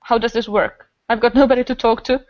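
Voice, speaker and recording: A woman's voice: a little high, fairly even intonation, brisk, darkish tone, no room sound, dull audio. A woman with an Estonian accent telling stories casually and enthusiastically in a group conversation. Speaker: podcast guest, improviser and festival organiser.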